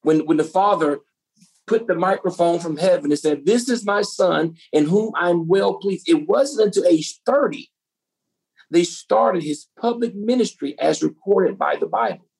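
Brief: woman pastor, gravelly husky voice, preaching, rhetorical questions to the room. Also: on the low side, unhurried, darkish tone, low-quality recording. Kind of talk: sermon to a women's group.

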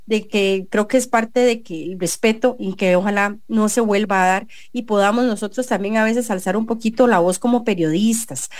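A woman's voice: medium pitch, moderate pace, few pauses, darkish tone, no room sound. A woman with a Costa Rican accent hosting a business radio show, giving an earnest editorial and interviewing a guest. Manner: earnest, many restarts and fillers. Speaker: radio show host and journalist.

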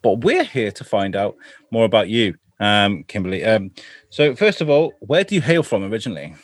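A man's voice: fairly low pitch, big pitch swings, moderate pace, no echo. A man hosting a podcast, talking eagerly and rambling a little in casual chat. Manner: enthusiastic, rambling. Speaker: podcast host.

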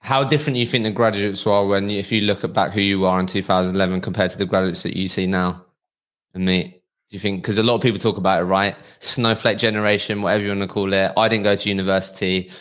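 A man around thirty hosting a podcast, pitching a community and then interviewing a guest with deliberate, upbeat delivery. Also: level tone, fairly bright tone. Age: about 30. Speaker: podcast host.

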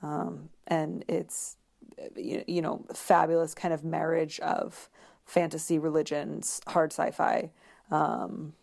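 Woman, low pitch, gravelly vocal fry, conversational, halting, frequent pauses, interview guest. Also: flat delivery, darkish tone. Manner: hesitant, searching for words with many pauses and repeats.

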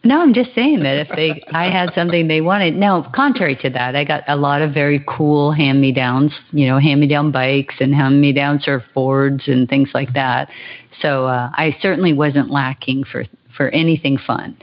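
A woman's voice: low-pitched, moderate pace, lo-fi sound. A woman from Hawaii, a podcast guest telling stories about her childhood and laughing easily. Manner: warm storytelling, laughs easily. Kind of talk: podcast interview.

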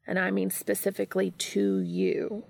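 A woman voicing a composed, earnest, kind male college professor with a measured, instructive manner in a story reading. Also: mid-range voice, completely flat delivery, gravelly, a little mellow, no room sound, studio quality.

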